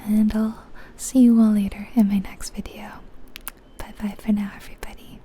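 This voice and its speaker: A woman whispering gently and informally in a breathy, unhurried ASMR game commentary, close to the microphone. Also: mid-range voice, level tone, background noise. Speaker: ASMR gaming video host.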